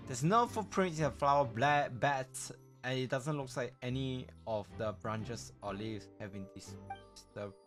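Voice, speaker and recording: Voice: mid-range voice, measured pace, faint music behind. A game streamer commentating casually, reacting aloud with swearing and reading game text aloud.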